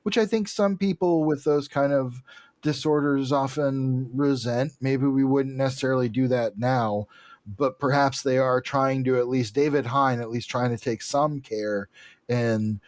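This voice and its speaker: A man's voice: mid-range voice, steady tone, measured pace, no room sound. A man co-hosting a podcast in casual discussion, talking in a hedging, discursive way that often corrects itself. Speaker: podcast co-host. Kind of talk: casual comic book discussion.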